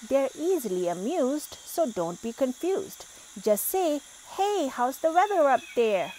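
Expressive woman reading a picture book. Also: high-pitched, measured pace, a little hiss or hum, poor audio. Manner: expressive, animated when reading exclamations. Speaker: storybook reader. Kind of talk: children's book read-aloud.

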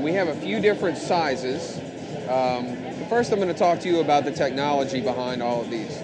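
A man in his thirties gives an informal product demonstration in a fairly high voice. He explains things in a matter-of-fact way, like a company rep. Music plays clearly behind him on a busy trade show floor. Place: large indoor trade show hall, at a booth.

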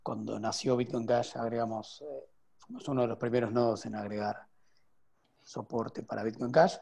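A man in his thirties, a guest developer, explaining at length on a video call from home with many restarts and pauses. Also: medium pitch, level tone, vocal fry, darkish tone. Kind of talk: casual video call interview. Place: home room with window. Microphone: earphone headset on video call.

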